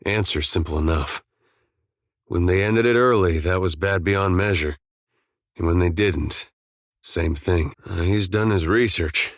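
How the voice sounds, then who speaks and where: An actor playing a laid-back, wry farmer and veteran soldier in a video game, with a folksy, understated drawl. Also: male voice, sits low, unhurried, no echo, clean studio sound. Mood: dry, casual. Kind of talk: video game character dialogue.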